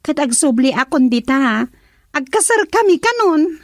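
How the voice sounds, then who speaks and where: A woman's voice: high-pitched, big pitch swings, normal speed, no echo. A woman with an Ilocano accent playing a cheerful young daughter working abroad, in a radio drama. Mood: warm. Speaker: daughter character.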